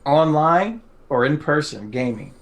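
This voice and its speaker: A man's voice: on the high side, animated, a little slow. A man co-hosting a casual interview, with a playfully theatrical way of putting questions. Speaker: interviewer, podcast co-host.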